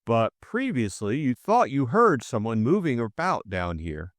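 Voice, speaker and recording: A man voicing a quiet, methodical police superintendent who questions deliberately in an audiobook. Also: medium pitch, very animated, no room sound, studio quality. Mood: probing. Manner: probing, deliberate. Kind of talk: audiobook character voice.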